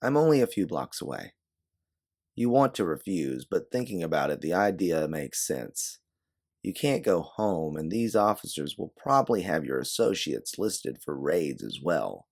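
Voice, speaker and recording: A man's voice: a bass voice, sing-song, unhurried. A man reading a scripted second-person story in a dramatic narrating style. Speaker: narrator of an original audio story.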